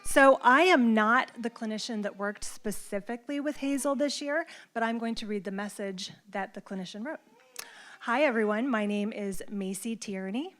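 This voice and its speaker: A woman's voice: fairly high pitch, moderate pace, fairly bright tone. A woman speech clinician reading a written tribute aloud at an event, encouraging and full of praise.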